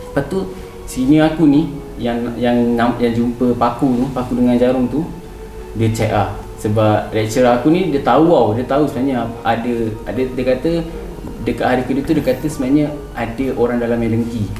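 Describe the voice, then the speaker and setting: A man's voice: medium pitch, fairly quick, warm tone. A young Malaysian man telling a story casually, acting out bits of dialogue and repeating phrases as he goes. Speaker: podcast guest telling a ghost story. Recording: roomy, distant mic, music underneath. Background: music.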